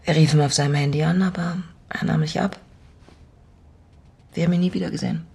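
A woman's voice: sits low, steady tone, brisk, creaky, a little mellow, slightly rough, exaggerated voice. An actress playing a lonely middle-aged woman in an intimate radio drama, playful yet haunted by her vanished father, with a reflective, teasing way of talking. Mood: grave, remembering.

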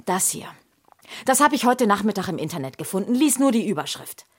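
An actress playing a worried middle-aged mother in a children's radio play, husky, reproachful and sarcastic. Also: mid-range voice, big pitch swings, a little fast. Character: worried, sharp-tongued mother of a schoolboy.

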